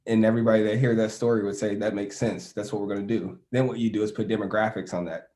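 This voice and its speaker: Low, flat-voiced man explaining steadily. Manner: measured, explains through a story. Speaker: panelist. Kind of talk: panel discussion.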